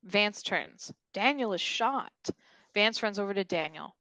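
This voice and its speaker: A woman's voice: mid-range voice, animated, unhurried, pushed and bright, dry recording, poor audio. A woman narrating action and stage directions from a script at a virtual table read.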